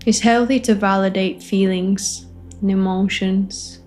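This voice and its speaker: A woman facilitating a guided meditation, offering gentle invitations in short phrases with long pauses between them. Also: mid-range voice, steady tone, faint music behind. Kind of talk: guided meditation, spoken softly to listeners.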